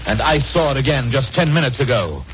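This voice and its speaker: A man's voice: medium pitch, very animated, quick pace, slight background noise, natural voice. An actor playing an urgent, hard-headed, impatient man giving orders in a 1940s radio horror drama. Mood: urgent, insistent. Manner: insistent, commanding, exasperated. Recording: muffled vintage radio recording with music.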